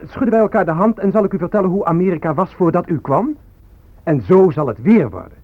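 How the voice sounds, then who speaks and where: Defiant young student, phone-like radio sound. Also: male voice, high voice, animated, fast talker. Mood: bitter, ironic. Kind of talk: Dutch radio drama acting, declaimed monologue and dialogue. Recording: phone-like old radio play, music behind.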